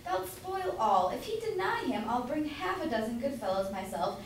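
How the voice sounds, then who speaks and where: A woman's voice: sits high, big pitch swings, moderate pace. A young woman playing a blustering, doting citizen husband in an amateur staged play reading. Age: young adult. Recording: echoey hall from far off, noisy.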